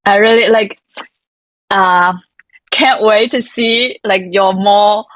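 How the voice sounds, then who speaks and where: Woman host, mid-pitch, encouraging, short backchannels, dry close recording. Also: fairly even intonation, slow pace, a bit bright, no echo, rough recording. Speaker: interview host. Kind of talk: casual interview chat.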